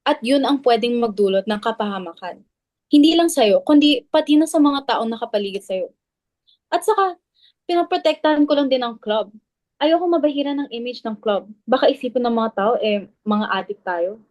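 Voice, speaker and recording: A woman's voice: a little high, expressive, moderate pace, darkish tone, dry recording, natural voice. A woman with a Filipino accent playing an anxious, defensive club member in a dramatic reading. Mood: worried, insistent. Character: anxious, defensive clubwoman guarding the club's image.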